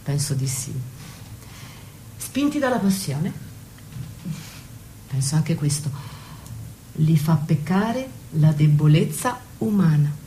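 An actress playing a worldly, wry maid and confidante in a radio play, knowing, deliberate and defiant. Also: female voice, deep voice, big pitch swings, dark tone, slight background noise, exaggerated voice. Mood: knowing, pointed. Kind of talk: stage-play dialogue and monologue.

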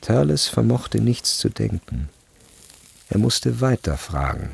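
A man's voice: lowish voice, very animated, slow pace, vocal fry, slightly rough, no echo. A man reading scripted literary narration as the narrator of a radio drama.

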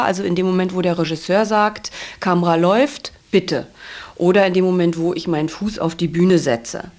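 Woman, medium pitch, conversational, reflective, self-correcting, interviewee. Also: a little mellow. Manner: thinking aloud, self-correcting. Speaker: stage performer being interviewed.

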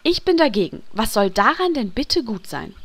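A woman playing a sharp-tongued, exasperated elf priestess who leads a guild in a parody radio play. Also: fairly high pitch, big pitch swings, normal speed, no room sound, full character voice. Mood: indignant.

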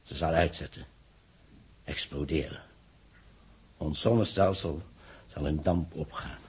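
An actor playing a grave astronomer in a 1960s Dutch radio drama, speaking in a measured, solemn way with dramatic pauses. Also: male voice, extremely low pitch, a little mellow, husky, heavily acted. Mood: solemn, foreboding. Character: grave male astronomer warning of solar catastrophe. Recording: old 1960s radio recording.

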